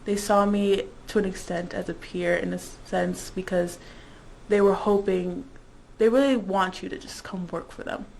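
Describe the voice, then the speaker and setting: A woman's voice: mid-range voice, steady tone, moderate pace, vocal fry, darkish tone, a little hiss or hum, low-quality recording. A woman in her twenties, born in the Dominican Republic and raised in Indiana, talking casually about her internship as an interview guest. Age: 20s.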